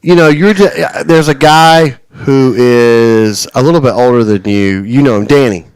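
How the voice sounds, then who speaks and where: A man's voice: medium pitch, normal speed, clean tone, no room sound. A man in his forties hosting a radio show, chatting casually, rambling with frequent restarts and chuckles. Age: 40s. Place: radio studio.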